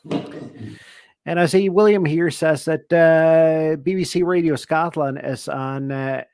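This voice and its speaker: A man's voice: on the high side, takes it slowly, a little mellow, clear, resonant tone, no room sound, studio quality. A middle-aged man from Norway with a Norwegian accent, hosting a casual ham radio live chat and often restarting his phrases. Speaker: ham radio show host.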